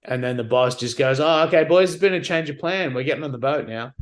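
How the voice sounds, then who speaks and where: A man's voice: mid-range voice, fast. Brief: Australian male veteran, 30s, candid podcast guest, easygoing storyteller. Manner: relaxed, candid, acts out quotes. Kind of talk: casual storytelling chat.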